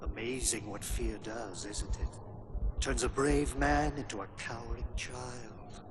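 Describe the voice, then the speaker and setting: A man's voice: medium pitch, fairly even intonation, unhurried, noisy background, natural voice. A man playing a gloating master of fear in a game cutscene, taunting and savouring each phrase. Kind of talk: dramatic villain acting in game cutscene. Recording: music behind the voice.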